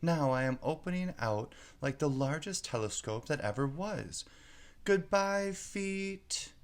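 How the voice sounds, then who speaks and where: Storybook young girl, played by a man: lifted pitch, bewildered, dramatic, self-chiding. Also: lively intonation, slow pace. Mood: amazed. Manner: exclamatory, musing aloud to herself. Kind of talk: children's storybook character voice.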